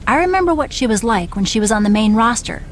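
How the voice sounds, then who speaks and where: An actress playing a scheming veteran female wrestler, talking in a measured, persuasive way. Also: on the high side, sing-song, a little hiss or hum. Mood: knowing, persuasive. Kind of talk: video game cutscene dialogue.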